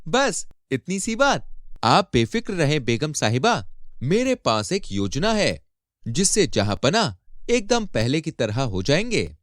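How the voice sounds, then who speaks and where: Man acting clever royal courtier, storybook style, respectful, persuasive, animated intonation, raised pitch. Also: a little slow, dry recording, studio quality. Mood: reassuring.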